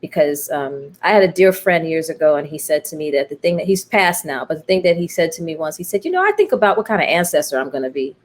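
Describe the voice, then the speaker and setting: Reflective American woman, slightly low voice. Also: sing-song. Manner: thoughtful, emphatic, pausing and repeating phrases. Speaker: interview guest, playwright. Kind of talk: reflective personal conversation.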